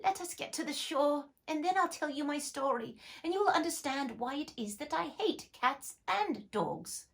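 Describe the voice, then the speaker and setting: A woman voicing a small, easily offended male mouse in a shrill, passionate voice. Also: animated, moderate pace, vocal fry, few pauses, a bit bright, exaggerated voice. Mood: trembling.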